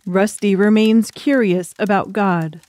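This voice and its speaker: A woman's voice: mid-range voice, fairly even intonation, unhurried, a little mellow, dry recording. Woman narrating a dramatic audiobook. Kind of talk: scripted dramatic story narration.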